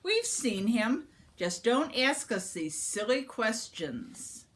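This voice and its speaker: A haughty young woman from a storybook, acted out by a female reader, vain and boastful. She pushes and insists in a lively, swooping voice and is easily flustered when questioned. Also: fairly high pitch, slow, a little hiss or hum, pitched above the performer's own voice, full character voice. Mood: indignant. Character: two haughty, vain older sisters, young women, boastful and demanding.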